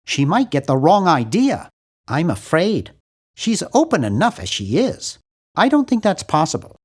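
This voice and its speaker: Cartoon game lead: nervous young American geek, high nasal voice for a man, very animated, fussy and earnest. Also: measured pace, breathy edge, heavily acted. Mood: nervous, eager. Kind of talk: cartoon adventure game voice acting.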